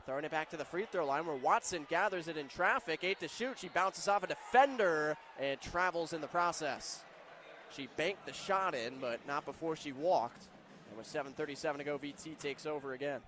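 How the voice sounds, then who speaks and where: A man's voice: on the high side, a little fast, fairly bright tone. A man calling a live basketball game as a radio play-by-play announcer. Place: basketball arena, live broadcast. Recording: faint arena background noise.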